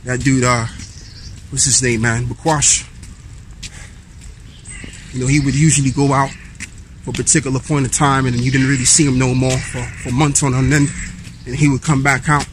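A man in his thirties talks to a handheld camera as he strolls a park path. His preaching is easygoing and mid-pitched, broken up by fillers as he reads verses aloud. Faint outdoor noise sits behind him. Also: little pitch movement, moderate pace, long pauses. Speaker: street preacher vlogger. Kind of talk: walking vlog, preaching and reading scripture. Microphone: handheld camera at arm's length while walking.

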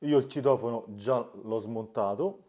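A man's voice: mid-range voice, unhurried, dark tone, faint music behind. A man, giving a hands-on DIY tutorial as the host, recorded indoors in a small room. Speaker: host of a DIY smart-home channel. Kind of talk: hands-on tutorial narration to camera.

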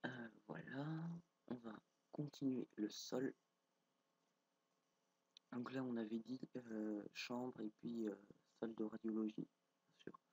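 Young man with a head cold, husky, dark-toned, hesitant gameplay talk, dry close sound. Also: mid-range voice, level tone, slow, long pauses, no room sound, rough recording. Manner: thinks aloud, frequent fillers and hesitations. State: has a cold, stuffy nose. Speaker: gameplay video creator. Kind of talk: casual commentary while building in a game.

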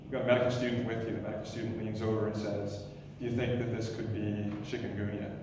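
A man in his thirties delivers a loud, energetic startup pitch, often restarting his phrases. His voice sits a little low. He is heard from far off in a big echoey lecture hall, with a steady hiss of background noise. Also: fast talker. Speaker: emergency doctor pitching a startup. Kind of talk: pitch presentation. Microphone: stand mic, recorded from far camera.

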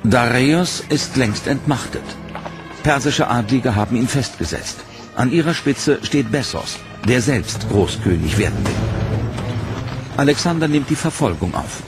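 A man reads a history documentary narration with a measured, serious delivery. His voice sits at an ordinary middle pitch, and a prominent dramatic music bed runs underneath him throughout. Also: expressive.

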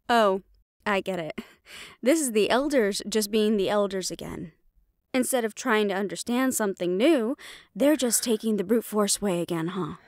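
A woman's voice: medium pitch, a little slow, long pauses, no room sound, clean studio sound, natural voice. A woman playing a defiant, loyal young banshee daughter in an audio roleplay, sarcastic and casual. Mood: angry, defiant.

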